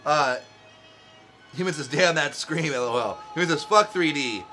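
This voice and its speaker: A young man co-hosting a casual stream, rambling and excitable with plenty of slang. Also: on the high side, normal speed. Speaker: co-host of a streamed cooking show. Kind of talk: casual chat. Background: music playing.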